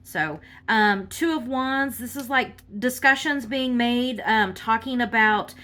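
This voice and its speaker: A woman reading tarot cards in a casual, halting monologue full of fillers, sounding congested from a cold. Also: a little high, a bit bright. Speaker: tarot reader.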